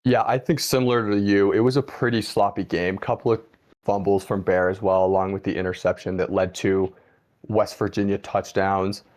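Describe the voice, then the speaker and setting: Man, host, lowish voice, casual sports talk, filler-heavy opinions. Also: flat delivery, moderate pace, darkish tone. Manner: conversational, many "I mean" and "like" fillers.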